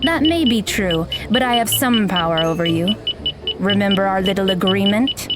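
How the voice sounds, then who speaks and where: An actress playing a blunt, aloof young magical mentor who talks in a dry, deadpan way in an audio drama. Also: female voice, medium pitch, normal speed, noisy background, close to an everyday voice. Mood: cold. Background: background music.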